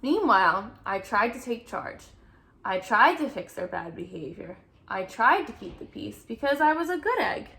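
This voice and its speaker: A woman voicing an earnest, anxious-to-please male egg in an expressive children's picture-book read-aloud. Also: mid-range voice, normal speed, vocal fry, a little hiss or hum, heavily acted. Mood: frazzled. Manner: expressive storybook reading with playful emphasis.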